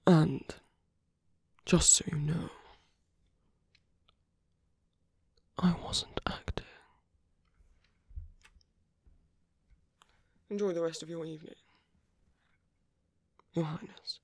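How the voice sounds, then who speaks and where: A woman performs a suave, sly villain for romantic ASMR, low-pitched and very breathy, often slipping into whispers. The delivery is teasing and coaxing, with a soft, mellow tone. It is intimate and flirtatious throughout. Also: steady tone, measured pace, long pauses, dry recording, full character voice. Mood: smooth, wry.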